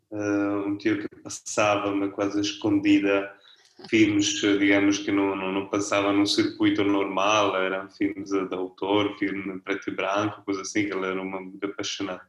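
Italian man, second-language speaker, low flat voice, roomy sound, hesitant. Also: normal speed, highs cut off. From Italy. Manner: relaxed, hesitant, searching for words. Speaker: festival director. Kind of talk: interview guest.

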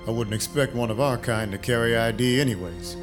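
A man's voice: mid-range voice, fairly quick, close to an everyday voice. An actor playing a wry, hard-boiled vampire detective in an audio drama, dry, matter-of-fact and clipped in his delivery. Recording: music under the voice. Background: music.

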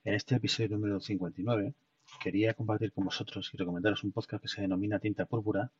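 Male podcast host, Castilian Spanish accent, halting informal delivery; dry room sound, set back from the mic. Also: lowish voice, little pitch movement, dry recording. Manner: halting, often repeating words. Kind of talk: informal solo podcast monologue. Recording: dull, muffled sound, distant mic.

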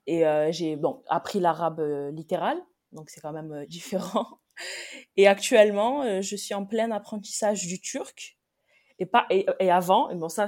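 A young woman in her twenties, a graduate student being interviewed, answering hesitantly and thinking aloud with pauses and restarts. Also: lowish voice, darkish tone.

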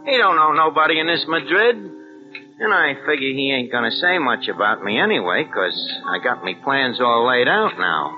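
An actor playing a streetwise American check forger, talking in tough street slang in an old radio drama. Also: male voice, medium pitch, big pitch swings, moderate pace, music in the background, lightly acted. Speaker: narrator of his own story. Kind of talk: 1940s radio acting. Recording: muffled old radio recording with hiss.

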